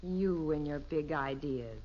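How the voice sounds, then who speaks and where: A woman's voice: low voice, slow pace. An actress playing a weary, wry middle-aged mother in a 1950s radio sitcom. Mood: exasperated. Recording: muffled, hissy old radio recording.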